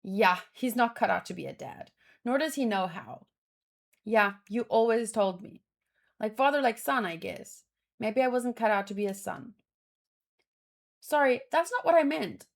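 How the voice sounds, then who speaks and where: Higher-pitched woman reading a story aloud casually. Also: expressive, a little slow, vocal fry, pauses often. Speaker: manga read-along host. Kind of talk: reading dialogue aloud with casual reaction commentary.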